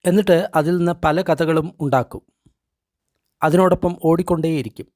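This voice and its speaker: Male meditation guide, slightly high voice, gentle deliberate phrasing, dry close recording. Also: no echo. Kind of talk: guided meditation narration, translated text read aloud.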